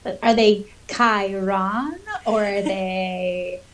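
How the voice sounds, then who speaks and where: A woman's voice: mid-range voice, steady tone, drawn-out words, recorded from a distance. A woman co-hosting a podcast in an informal, giggly way, moving between casual chat and reading story passages. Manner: giggly, informal, uses "like" often. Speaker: podcast co-host.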